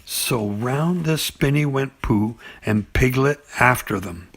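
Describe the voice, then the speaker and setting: A man reading a children's bedtime story in an unhurried, halting way, with pauses and small stumbles. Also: mid-range voice, fairly bright tone, slight background noise. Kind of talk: bedtime story reading with asides and character voices.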